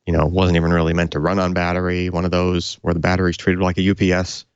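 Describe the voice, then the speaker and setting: A man with a low voice talks through tech matters as a podcast co-host. He explains in a loose, rambling fashion, and the recording is dry and a little dull. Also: monotone, quick pace, smooth, dry recording. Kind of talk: casual tech discussion.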